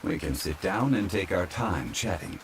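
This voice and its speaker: A man playing a calm, courtly ancient former god from a fantasy game, speaking formally and with measured politeness in an ASMR roleplay. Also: sits low, vocal fry, slight background noise. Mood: calm, courteous. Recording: steady rain sound over the voice. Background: rain falling.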